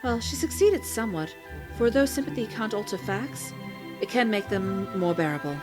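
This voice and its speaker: A woman reading a novel's journal entry aloud in measured, formal narration. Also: medium pitch, lively intonation, music in the background.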